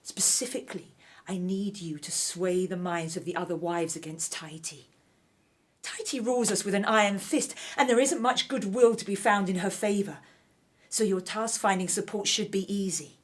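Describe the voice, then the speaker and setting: A woman playing a ruthless, scheming royal wife of ancient Egypt in an audio drama, speaking in an imperious, deliberate, menacing way. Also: mid-range voice, close to an everyday voice. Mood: scheming, commanding.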